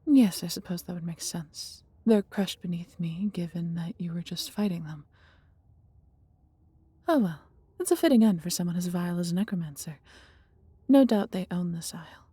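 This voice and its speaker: A woman voicing an affectionate, fiercely protective female dragon in a fantasy audio roleplay, with soft husky breathiness and theatrical, intimate, formal storybook phrasing. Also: lowish voice, moderate pace, no room sound. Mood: tender, proud.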